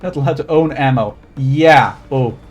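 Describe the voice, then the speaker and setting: A man's voice: mid-range voice, measured pace, breathy edge, faint music behind. A Swedish man with a general American accent, a game streamer casually rambling and swearing while chatting with viewers. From Sweden. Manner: rambling, swears freely, talks to chat while playing. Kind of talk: casual live gaming commentary.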